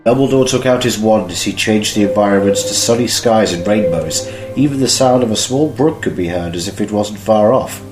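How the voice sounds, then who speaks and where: Low-voiced man narrating in an echoey room. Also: a little fast, few pauses. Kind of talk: scripted fan-fiction narration. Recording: echoey room with faint music.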